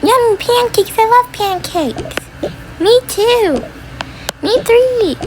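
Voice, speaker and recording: Whiny, excitable small child in a family role play, acted by a woman, very high voice, clearly noisy recording. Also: extremely slow, heavily acted. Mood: delighted. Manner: whiny, pleading, bickering. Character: whiny, excitable, squabbling little kid.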